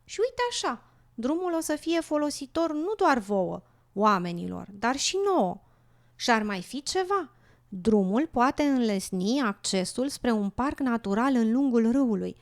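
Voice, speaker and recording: A wise frog who speaks up for nature, voiced by a woman in an educational radio drama. The voice is on the high side, earnest and persuasive. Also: very animated.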